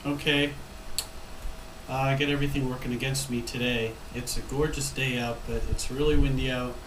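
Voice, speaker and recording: A man's voice: medium pitch, level tone, normal speed. A man in his thirties with a head cold, talking casually to the camera about his plants on a windy patio, rambling and restarting his sentences as he goes. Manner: rambling, self-correcting, frequent ums. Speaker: gardening vlogger. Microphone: camera mic from a few feet away. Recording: roomy, distant camera audio with wind noise.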